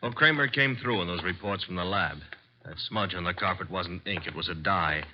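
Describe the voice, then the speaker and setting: An actor playing a cocky, wisecracking detective's assistant in an old radio drama, slangy and flippant. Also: male voice, low-pitched, moderate pace, a little hiss or hum, close to an everyday voice. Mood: wry. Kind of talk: 1940s-50s radio drama acting. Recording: dull vintage radio recording.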